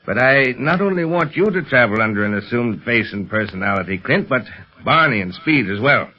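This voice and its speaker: An American actor playing an earnest, commanding secret police chief in a 1930s radio serial, formal and deliberate in delivery. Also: male voice, mid-range voice, animated, husky. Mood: persuasive, firm. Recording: dull, band-limited 1930s radio recording.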